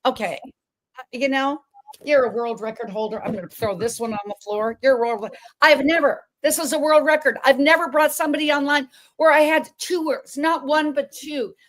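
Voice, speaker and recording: Effusive higher-voiced woman host. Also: moderate pace, rough recording. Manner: effusive and chatty, restarts often. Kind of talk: casual interview chat.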